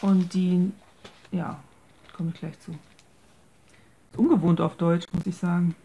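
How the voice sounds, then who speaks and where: A woman's voice: on the low side, little pitch movement, takes it slowly, dark tone, a little hiss or hum. A woman giving a casual, hesitant show-and-tell, searching for words and mixing English craft terms into her speech. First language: German. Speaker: craft video maker presenting a handmade journal. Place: indoors at a tabletop.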